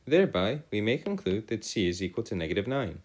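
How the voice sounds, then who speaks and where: A man with a fairly deep voice tutors through a worked problem. His intonation rises and falls widely from phrase to phrase. He speaks in formal, elaborate sentences and often stops to correct himself. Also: big pitch swings, fast talker. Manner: formal, wordy phrasing with frequent self-correction. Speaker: math tutor. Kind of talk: video lesson working through calculus problems aloud.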